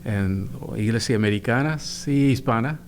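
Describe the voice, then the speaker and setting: An older man from New York, a pastor guest, speaking haltingly with many fillers. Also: mid-range voice, a little hiss or hum. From New York (Bronx).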